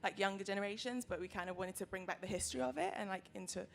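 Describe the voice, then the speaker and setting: A woman's voice: mid-range voice, racing, vocal fry. A young woman, a student and co-founder of a magazine, speaking casually on a panel, filling her sentences with likes and kind ofs. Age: young adult. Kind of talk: panel discussion.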